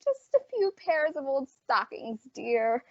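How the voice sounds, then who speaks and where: Young woman playing high-pitched gushing society-polite lady. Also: sing-song, measured pace, no room sound, exaggerated voice. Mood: gracious, grateful. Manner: effusive, ladylike. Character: polite, gushing, jumpy female store advertising executive. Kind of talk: old-time radio drama acting. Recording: dull lo-fi audio, very dry.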